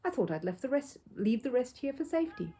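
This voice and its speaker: A woman's voice: sits high, very animated, fairly quick, dark tone, voice pushed up. A woman voicing a polite, innocent young male bear in a children's storybook, earnest and matter-of-fact.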